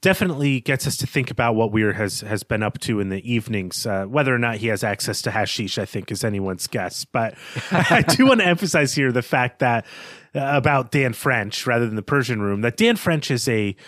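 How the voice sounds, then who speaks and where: Male podcast host, medium pitch, deliberate analytical phrasing, book discussion, dry recording. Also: lively intonation, a bit bright, dry recording. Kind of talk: literary discussion.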